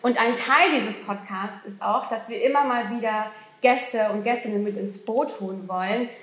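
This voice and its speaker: Young female podcast host, chatty, slightly high voice, echoey venue. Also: normal speed, a bit bright. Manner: chatty, laughs often. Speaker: podcast host, assistant director. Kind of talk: live stage talk. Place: theater restaurant, indoors. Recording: echoey room.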